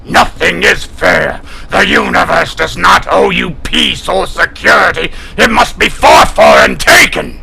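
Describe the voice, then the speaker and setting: A proud feline alien warrior with a heavily gravelled, harsh and forceful voice. He speaks slowly and haughtily, scorning humans like prey in a sci-fi audio drama. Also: high voice, heavily acted, low-quality recording. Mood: angry. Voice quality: gravelly, growling. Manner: haughty, declamatory, taunting.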